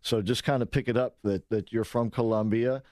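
A man's voice: medium pitch, fairly even intonation, moderate pace, warm tone, no room sound, studio quality. A man hosting an interview show, easygoing and conversational as he brings on a guest. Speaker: radio show host.

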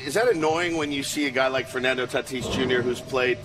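An American man co-hosts a sports radio interview in a casual, chatty way. His voice is average in pitch. He tends to phrase things informally, in short bursts that repeat. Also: a little fast.

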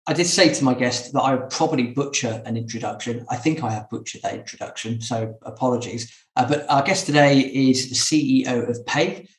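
A man's voice: medium pitch, brisk, hardly any pauses. A man hosting a podcast interview in a casual, conversational style. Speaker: podcast host. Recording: echoey, roomy sound.

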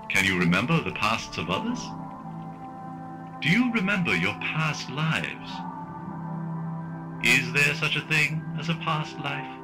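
An actor playing a caring but menacing government relaxation robot in a dystopian radio drama, putting slow, rhetorical questions. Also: male voice, fairly high pitch. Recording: music under the voice.

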